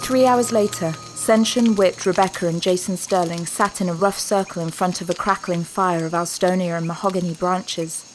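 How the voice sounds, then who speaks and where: A woman's voice: lowish voice, fairly even intonation, normal speed, hardly any pauses, soft background music, rough recording. A woman narrating an audio drama from a script, as a storyteller between scenes. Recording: clear ambient sound behind.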